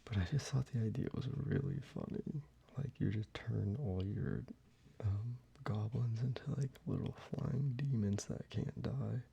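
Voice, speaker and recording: A man whispering softly into a close microphone in a soft-spoken ASMR video, with quiet chuckles along the way. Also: on the low side, big pitch swings, a little slow, gravelly, breathy edge. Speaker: ASMR creator.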